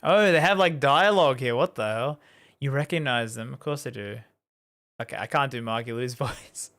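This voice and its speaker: A man's voice: mid-range voice, moderate pace, fairly bright tone. A chatty, amused man giving casual commentary as he plays a game. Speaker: gaming streamer.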